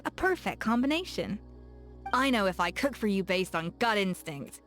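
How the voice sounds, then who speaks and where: Confident, curious young woman chef for game voice lines; playful and proud, middle pitch, faint music bed. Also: normal speed. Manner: self-assured, teasing, lively.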